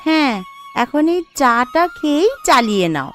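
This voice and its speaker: High-voiced cartoon village wife. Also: female voice, big pitch swings, normal speed, fairly bright tone. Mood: attentive. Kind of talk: Bengali cartoon voice acting. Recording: music under the voice.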